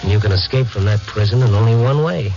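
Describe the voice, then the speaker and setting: An actor playing a tough but compassionate night reporter who narrates a 1950s radio drama. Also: male voice, fairly low pitch, fast, over music, lightly acted. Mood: wry, reflective. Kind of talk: 1950s radio drama, hard-boiled first-person narration. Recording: muffled 1950s radio recording.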